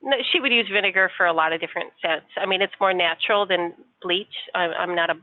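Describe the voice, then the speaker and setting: A woman's voice: on the low side, quick pace. A woman working customer service, answering politely and patiently with some hesitation. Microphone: phone. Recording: tinny dry phone line.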